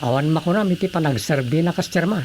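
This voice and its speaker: A man's voice: a little high, fast, darkish tone, a little hiss or hum, natural voice. A man playing a friendly, eager village brother-in-law in an Ilocano radio comedy drama, with an Ilocano accent.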